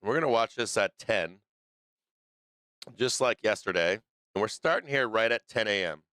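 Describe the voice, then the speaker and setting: Guy losing his voice doing live trading commentary, kinda low but bright and crisp, dry close mic. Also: lively intonation, moderate pace, no room sound. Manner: loose, thinking aloud, frequent restarts and fillers. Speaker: stock market stream host.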